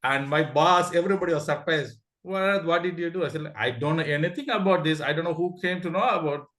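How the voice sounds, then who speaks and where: A man's voice: a little high, fairly quick, fairly bright tone. Eager Indian-accented man, echoey room. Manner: eager, laughing, many restarts. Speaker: club member sharing a story. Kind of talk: casual meeting talk.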